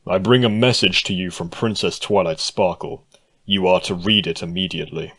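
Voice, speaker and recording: A man voicing a large, stern male pegasus guard who speaks in a flat, formal, commanding way. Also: sits low, moderate pace.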